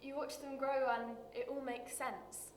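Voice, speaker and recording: A woman acting a dramatic screen monologue as a bitter, wounded working-class woman, confessional and halting, with weighted pauses. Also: high-pitched, level tone. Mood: reflective, weary. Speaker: actor performing a monologue. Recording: roomy echo with light background noise.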